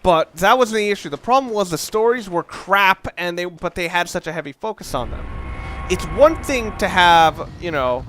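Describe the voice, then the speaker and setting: A man's voice: high-pitched, animated, normal speed, fairly bright tone, slight background noise. A young man giving casual, rambling commentary over a video game, often breaking off mid-sentence and swearing now and then. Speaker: let's play commentator.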